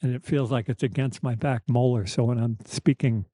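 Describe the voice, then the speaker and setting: A middle-aged or older man speaking candidly and haltingly as a podcast host, with a soft, slightly weakened voice, a slight lisp and wry reflection. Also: mid-range voice, fairly even intonation, dry recording. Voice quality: slight lisp, thick-tongued articulation. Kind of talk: reflective personal conversation.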